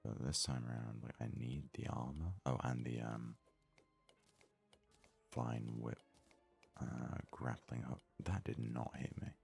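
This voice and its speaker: A man with an extremely low voice, heavy with gravelly fry, murmurs casual ASMR game commentary. He speaks softly and close to the microphone, with faint music playing behind him. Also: little pitch movement, slow, pauses often. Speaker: gaming video host.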